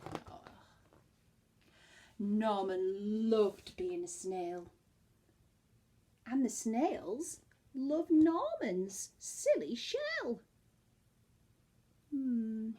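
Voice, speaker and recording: A woman reading a storybook to young children as a teacher would, expressively, with hushed, chatty asides to her listeners. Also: on the high side, very animated, drawn-out words, pauses often.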